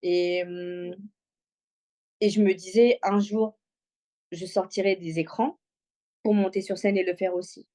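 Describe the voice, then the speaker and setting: A woman's voice: fairly low pitch, fairly even intonation, unhurried, pauses often, dark tone. A talkative woman from Congo, telling personal stories informally and with slang as an interview guest. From Congo, moved to Belgium aged six. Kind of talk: casual personal storytelling in an interview.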